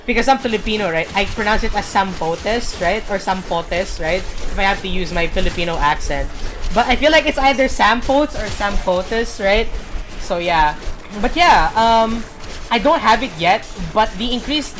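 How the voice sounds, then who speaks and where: A woman streamer giving rambling gameplay commentary, full of fillers and pauses to search for words. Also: mid-range voice, expressive, over music.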